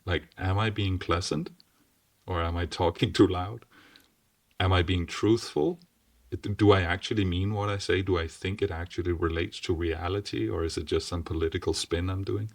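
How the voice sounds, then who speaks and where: A man with a deep, strong voice talks through ideas in an earnest, explanatory way, often checking in with a quick "right?". His low voice sits well below most men's. The sound is dry and comes from a little away from the mic. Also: no echo, far from the mic. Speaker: podcast guest. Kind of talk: casual explanatory conversation.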